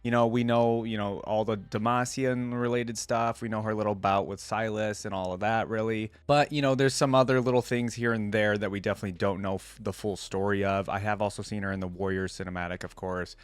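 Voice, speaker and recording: A man's voice: lowish voice, fairly even intonation, clean tone, no room sound. A man hosting a reaction video, mixing read-aloud passages with casual, conversational commentary. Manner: conversational, pauses reading to comment.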